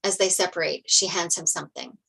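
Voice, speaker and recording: A woman narrates a screenplay, reading out the stage directions. Her voice is a little low in pitch, in a table-read style. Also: brisk, creaky, pushed and bright, slightly rough, rough recording.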